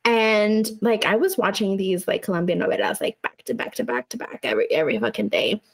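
Young woman from Chihuahua, casual co-host, animated, laughing storyteller. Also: mid-range voice, brisk. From Mexico, Chihuahua. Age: young adult. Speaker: podcast co-host. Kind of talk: casual chat.

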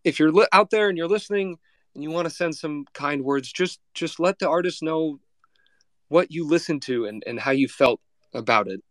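A man's voice: on the high side, normal speed, a bit bright, no echo, studio quality. A man hosting a podcast interview, friendly and effusive, speaking over a video call. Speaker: podcast host. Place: Los Angeles.

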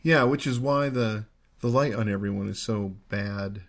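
A man's voice: on the low side, normal speed. A man in his late forties co-hosting a film podcast, chatty and asking his partner playful questions. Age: 40s. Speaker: podcast co-host. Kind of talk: casual movie commentary chat.

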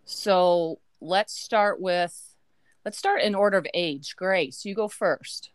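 A woman in her forties hosting a casual family podcast, chatty and quick to laugh. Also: on the low side, dry recording. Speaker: podcast host. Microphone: remote call.

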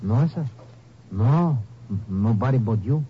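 A man's voice: medium pitch, lively intonation, a little slow, natural voice. Man playing timid, stammering Mexican man, muffled old radio. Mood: nervous, wary. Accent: Mexican Spanish. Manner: stammering, hesitant, deferential. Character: timid, obliging Mexican man living in a shack. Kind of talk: 1950s radio drama acting. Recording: muffled old radio broadcast, faint noise.

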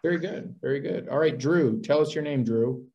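A man's voice: a little high, normal speed, dark tone, lo-fi sound. A man hosting an interview podcast, encouraging his guests. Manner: encouraging, repeats "very good". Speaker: podcast host.

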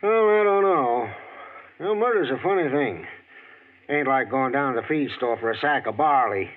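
An actor playing a talkative, drawling rural customer in a 1940s radio drama, on an old tinny recording. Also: male voice, medium pitch, animated, normal speed, close to an everyday voice. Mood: folksy. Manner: rustic drawl, folksy.

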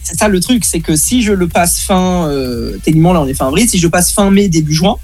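A young man, a student, chatting casually and complaining in a fast, animated way. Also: high-pitched, faint music behind. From Baden-Württemberg, Germany. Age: young adult. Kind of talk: casual chat.

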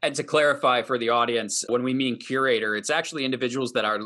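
A man speaks as the host of an interview. His voice is at an ordinary pitch, and he asks and clarifies in an easy conversational style. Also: quick pace, pushed and bright.

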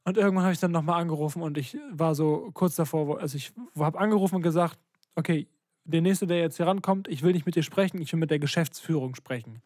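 A man's voice: on the high side, fast talker, a little mellow, dry recording. A young man co-hosting a podcast, telling stories in casual slangy speech and acting out the dialogue. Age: young adult. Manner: slangy, colloquial, acts out quoted dialogue. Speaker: podcast co-host. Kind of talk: casual chat, animated storytelling.

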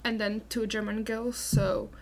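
A woman's voice: fairly high pitch, little pitch movement, a little slow, heavy background noise. A young woman chatting casually as a student panelist. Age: young adult. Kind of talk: casual chat.

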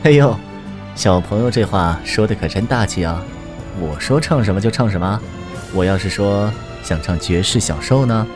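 A man playing a gentle, admired university teacher with a soft, playful, teasing way of talking in an audio drama. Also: lowish voice, normal speed, natural voice. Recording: voice over background music.

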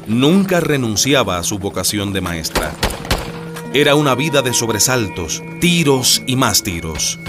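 A radio-drama narrator tells revolutionary history. His mid-pitched voice moves with lively intonation, and music plays clearly underneath. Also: a little slow. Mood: narrating.

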